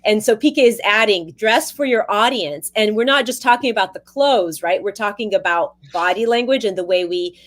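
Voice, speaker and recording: Female podcast host, mid pitch, enthusiastic and affirming, casual conversational delivery. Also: a bit bright. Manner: enthusiastic, affirming, halting with restarts.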